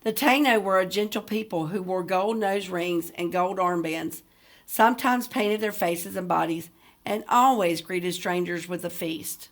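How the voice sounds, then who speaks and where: A woman's voice: fairly low pitch, unhurried. A woman teacher reading a book aloud to students, speaking directly to them as if leading a discussion. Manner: addresses listeners directly with questions. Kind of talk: read-aloud lesson with discussion questions.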